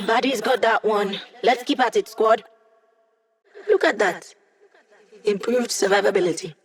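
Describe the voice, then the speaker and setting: A woman's voice: medium pitch, a little slow, close to an everyday voice. An actress voicing a wry, cocky young woman hacker in a video game, speaking in quippy, casual lines. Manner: sardonic, quippy, casual slang. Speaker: game character, hacker support.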